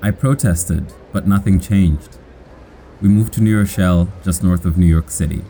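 A man from Kenya with an American accent, calmly narrating his own story in measured sentences. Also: low voice, a little hiss or hum. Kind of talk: scripted narration.

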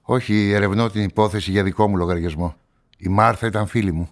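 A man acting as an inquisitive journalist who narrates a radio drama in a measured, probing manner. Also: lowish voice, fairly even intonation, lightly acted. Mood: probing, polite. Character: inquisitive male journalist investigating a murder, narrating his story. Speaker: narrator and lead actor.

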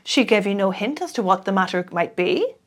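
A woman's voice: medium pitch, animated, brisk, natural voice. A woman reading the lines of a grave, thoughtful male detective who asks probing questions.

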